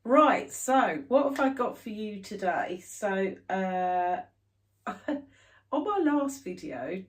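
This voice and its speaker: A woman's voice: medium pitch, big pitch swings, slow. A woman in her thirties, stuffed up with hay fever, chatting casually to camera about her stitching. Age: 30s. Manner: chatty, rambling, many fillers. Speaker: cross stitch vlogger.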